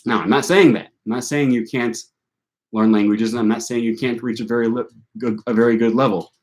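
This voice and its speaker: A man's voice: mid-range voice, steady tone, brisk. A young man from the United States with a northern US accent, hosting a language-learning channel and answering viewer questions in a rambling, self-correcting way with many fillers.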